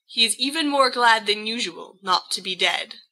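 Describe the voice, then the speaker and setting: A woman reading a story aloud as an audiobook narrator, in an expressive scripted style. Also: a little high, normal speed.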